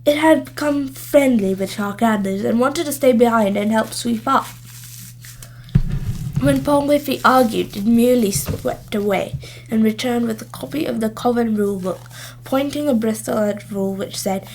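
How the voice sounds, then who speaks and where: A woman reading a children's story aloud in expressive narration. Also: fairly high pitch, moderate pace, a little hiss or hum, rough recording. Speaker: storybook reader.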